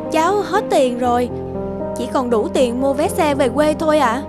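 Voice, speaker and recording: Woman playing a high-voiced, polite young traveller. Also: moderate pace, close to an everyday voice. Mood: polite, gentle. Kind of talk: cartoon voice acting. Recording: loud music behind the voice. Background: music.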